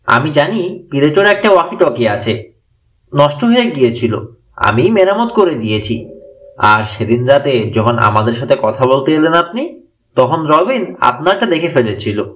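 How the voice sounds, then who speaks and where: A man's voice: fairly high pitch, sing-song, normal speed, fairly bright tone, recorded from a distance. Man voicing a clever, composed teenage boy detective. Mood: explaining.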